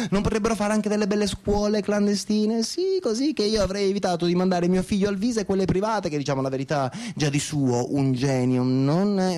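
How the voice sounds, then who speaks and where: High-voiced cynical ranting middle-aged man, played by an actor. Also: a little mellow, natural voice. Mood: sardonic, dismissive. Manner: rambling, sardonic, crude. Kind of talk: theatrical radio drama monologue.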